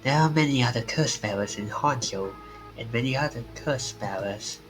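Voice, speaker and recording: A man's voice: medium pitch, moderate pace, lightly acted, rough recording. Man voicing a stiffly formal, composed negotiator, music behind. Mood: measured, grave. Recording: music under the voice.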